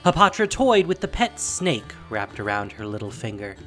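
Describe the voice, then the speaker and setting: A woman narrating a scripted fantasy story in a theatrical, measured, dramatic style. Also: a bass voice, big pitch swings, fairly bright tone, faint music behind.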